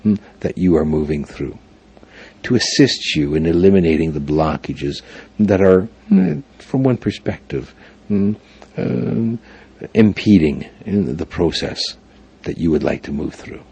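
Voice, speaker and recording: A man leading a guided meditation for a group, speaking in formal, deliberate phrases punctuated by frequent hums. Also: low voice, animated, vocal fry, warm tone, husky. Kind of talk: guided meditation instruction before a live group.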